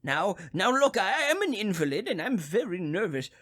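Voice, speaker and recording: An actor performs a querulous, bedridden invalid in a radio thriller. The voice is husky and strained, swinging widely in pitch. The manner is frantic, demanding and exasperated, often stumbling over words. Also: very animated, normal speed, fairly bright tone. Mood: indignant.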